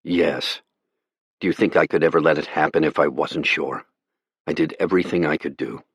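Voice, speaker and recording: A grim, tired space pilot, as a narrator voices him, firm and flat in delivery. His voice is low and plain. Recorded dry and close. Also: moderate pace, slightly rough, no echo, full character voice. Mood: weary.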